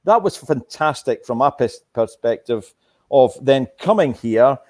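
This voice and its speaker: A man's voice: medium pitch, expressive, a little slow. A man speaking casually as a corporate guest being interviewed on an online call. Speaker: corporate sponsor guest, oil and gas executive.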